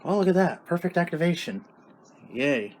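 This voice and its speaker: A man's voice: a little high, animated, normal speed, background noise. A man chatting casually as he hosts a hobby video about his radio gear. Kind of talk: casual hobby vlog chat.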